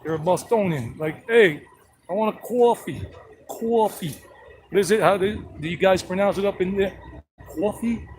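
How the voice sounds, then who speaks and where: A talkative man rambles through a casual walking tour outdoors on a town street, trading jokes with a companion and calling out to people he passes. His voice sits a touch higher than most men's, and a faint hum of traffic runs underneath. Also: moderate pace, darkish tone. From South Carolina, United States. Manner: chatty, rambling, jokey, talks to companions and passersby. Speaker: tour guide vlogger.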